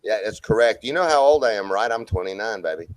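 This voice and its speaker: A man's voice: fairly low pitch, lively intonation, fast, no echo, poor audio. A man joking and boasting in a casual online group chat, often stumbling and restarting. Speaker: livestream chat participant.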